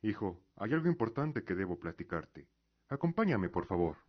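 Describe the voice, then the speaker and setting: An actor playing a proud, devout middle-aged lord and father in a fantasy radio drama, speaking in a measured, formal, earnest way. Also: on the low side, very animated, dull audio. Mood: calm, formal.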